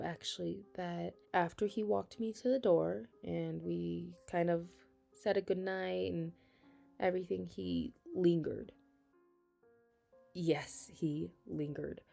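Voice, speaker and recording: A young woman playing a flustered, affectionate best friend with a secret crush in an intimate ASMR roleplay, speaking hesitantly and haltingly. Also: fairly low pitch, gravelly, dark tone. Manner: hesitant, halting, breaking into nervous laughs. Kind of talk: ASMR romantic roleplay, intimate conversational acting.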